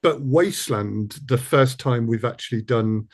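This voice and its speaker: A man's voice: medium pitch, a little slow, breathy edge. A man hosting a casual interview in a hesitant, wry conversational manner. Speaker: interviewer, audio drama producer.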